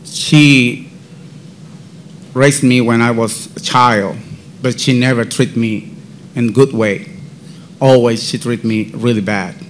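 A man speaking in unhurried, careful phrases, his Central American Spanish accent strong and his English halting. He recounts a personal faith testimony at a mid-range pitch. Faint noise sits under the voice. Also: fairly even intonation. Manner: halting, non-native English; slow, deliberate. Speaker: podcast guest.

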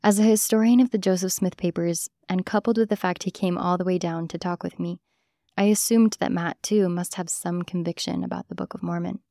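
Woman, host-narrator. Warm, mellow tone, scripted delivery, dry studio-close sound. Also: on the low side, fairly even intonation, a little fast, no echo. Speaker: host, interviewer.

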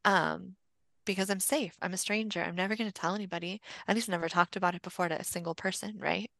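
Female podcast guest, forties, lowish bright voice, rambling conversational storyteller, close dry sound. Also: steady tone, quick pace, creaky, no echo. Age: 40s. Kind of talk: casual personal storytelling.

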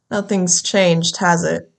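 Low, slow, jaded woman enforcer. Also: full character voice. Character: wry, jaded female government enforcer. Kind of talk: audio drama acting. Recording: clear background noise, ambience.

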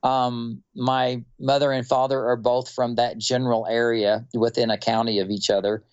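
A man from North Texas with a Texan accent, telling stories in a relaxed, unhurried interview with many fillers. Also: medium pitch, smooth, muffled recording. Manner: unhurried, rambling storyteller with fillers. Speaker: quilter and musician guest.

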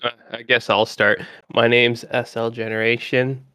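A man's voice: mid-range voice, level tone, normal speed, bright, forceful tone, smooth, no echo. A man, a podcast guest talking in a chatty, rambling way full of fillers. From Canada. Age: 20s. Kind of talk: casual gaming podcast chat.

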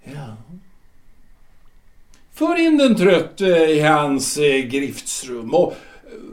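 An actor playing a solemn otherworldly guide of the dead, speaking slowly and questioning like a patient teacher. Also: male voice, on the high side, huge pitch swings. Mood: solemn, chanting. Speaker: guide of the afterlife. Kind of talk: audio drama acting. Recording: roomy reverberant room.

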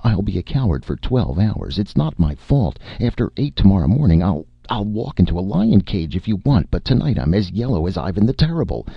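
A man's voice: sits low, sing-song, moderate pace, gravelly, slightly rough, no echo, lo-fi sound, voice pushed up, full character voice. A man voicing a cowardly, easily panicked man in a story, with hesitant, stammering delivery and shaky bravado.

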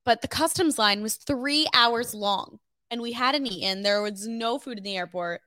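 A woman in her twenties from New Jersey, co-hosting a podcast in animated, playful casual chat. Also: on the high side, normal speed, no echo. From Cherry Hill, New Jersey. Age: 20s. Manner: animated, playful and self-mocking. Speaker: podcast co-host.

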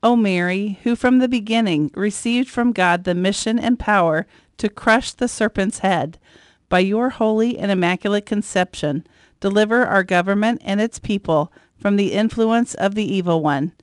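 A woman reciting a prayer in a solemn, measured way. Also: medium pitch, no echo, clean studio sound. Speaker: prayer reader.

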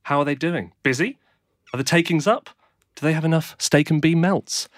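Deadpan radio host. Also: male voice, on the high side, moderate pace, no room sound, studio quality. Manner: deadpan, mock-serious. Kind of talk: comedic radio chat.

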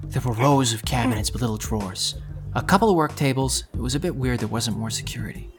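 A man's voice: medium pitch, expressive, fast, natural voice. An actor playing a determined young undercity thief who narrates his own story in an earnest, resolute way. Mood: narrating, tense. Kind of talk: audio drama narration and dialogue. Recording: music under the voice. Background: music.

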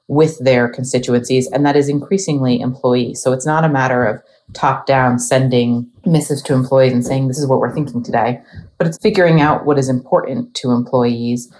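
A woman's voice: rumbling low, a little mellow, poor audio. A woman who advises corporate clients, talking in a professional panel discussion.

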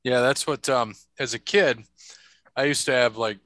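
A man's voice: mid-range voice, fairly even intonation, normal speed, a bit bright, dry recording. A man hosting a casual chat, rambling through stories and laughing often. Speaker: podcast host.

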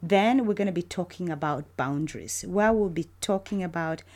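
A woman from Uganda with a somewhat low voice hosts her faith podcast. She speaks in an earnest, encouraging, gently preacherly way, addressing her listeners directly. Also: moderate pace. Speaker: podcast host. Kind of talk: faith-based podcast monologue.

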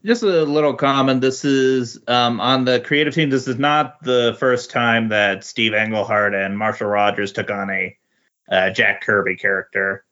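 A man speaking as a podcast guest in a casual comic book discussion, halting and often repeating words. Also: mid-range voice, steady tone, pushed and bright. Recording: dull lo-fi voice recording.

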